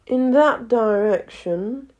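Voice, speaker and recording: A woman voicing a languid, mad, grinning cat from a storybook, with slow, drawn-out words. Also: a little high, darkish tone, lo-fi sound. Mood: languid, matter-of-fact. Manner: slow, drawn-out, unhurried delivery. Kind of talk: storybook character reading.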